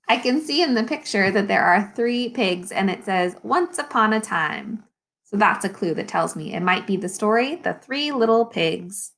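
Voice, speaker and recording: A woman with a fairly high voice reads a picture book to young pupils as their teacher. Her pitch moves up and down in a very lively way. She takes her time and stops to explain or question in an instructive manner. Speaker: elementary school teacher.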